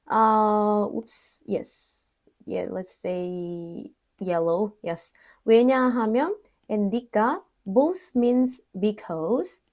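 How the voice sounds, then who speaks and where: A young woman, a native Korean speaker, explaining grammar in English in a casual online lesson and repeating Korean example words slowly. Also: a little high, fairly bright tone. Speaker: Korean language teacher.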